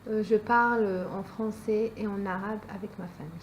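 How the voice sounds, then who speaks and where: A woman's voice: mid-range voice, steady tone, moderate pace, slight background noise, poor audio. A woman in her twenties from France, giving short plain interview answers with occasional fillers. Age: 20s.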